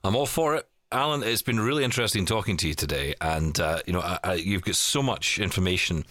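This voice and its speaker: A man's voice: low-pitched, animated, quick pace, fairly bright tone, no echo, studio quality. A Scottish man in his forties hosting a podcast, chatting casually and rambling with jokes. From Scotland. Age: 40s. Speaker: podcast host. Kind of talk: casual chat.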